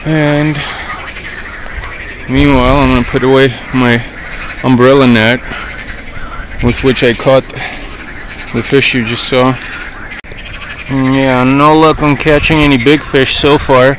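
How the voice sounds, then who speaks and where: A man's voice: mid-range voice, flat delivery, slow, pauses often. A man in his thirties casually narrating as he cooks fish over a campfire by a river, chatting to his viewers while he works. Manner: easygoing, talks to viewers while working. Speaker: outdoor vlogger. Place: outdoors by a riverbank. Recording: noisy outdoor camera audio. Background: outdoor ambience, frogs.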